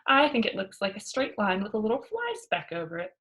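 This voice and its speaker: Woman playing a cheeky storybook girl who spins tall tales; emphatic, argumentative, swings widely in pitch. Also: huge pitch swings, fairly quick, fairly bright tone. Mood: doubtful, stubborn. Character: cheeky, boisterous young girl, cocksure tall-tale teller. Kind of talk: children's storybook read-aloud.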